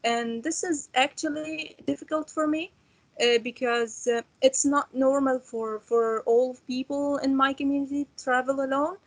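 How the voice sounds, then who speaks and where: A woman's voice: high-pitched, fairly even intonation, normal speed, rough recording. Hesitant young Syrian woman. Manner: hesitant, many fillers and restarts. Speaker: refugee student panelist. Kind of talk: roundtable discussion.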